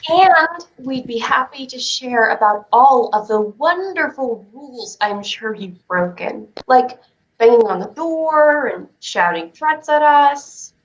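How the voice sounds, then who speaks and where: A woman playing a stubborn, accusing child spacewalker in a theatrical script reading, measured and pointed. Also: high-pitched, animated, echoey room, muffled recording. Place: remote online stream.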